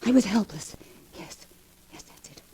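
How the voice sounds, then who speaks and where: An actress playing a desperate, scheming jealous killer in 1940s radio melodrama, stammering and whispering. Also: female voice, moderate pace, mellow, close to an everyday voice. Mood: furtive, scheming. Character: desperate, scheming jealous woman who has just killed. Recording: noisy old 1940s radio recording.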